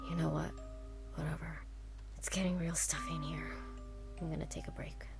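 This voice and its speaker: A woman playing a guarded, cynical teenage girl in a visual novel, with a quiet, dry, understated delivery. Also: low-pitched, level tone, moderate pace, gravelly. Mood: aloof. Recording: loud music behind the voice.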